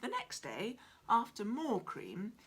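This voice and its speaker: A woman in her forties narrating her children's picture book in a dramatic, deliberate, expressive style, indoors at home. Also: a little high, husky. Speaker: author reading own picture book. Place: small cluttered room at home, indoors.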